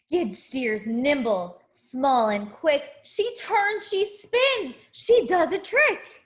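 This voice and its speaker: A woman's voice: sits high, expressive, drawn-out words, echoey room. A woman teacher reading a picture book aloud to young children, expressively, with simple asides to her listeners.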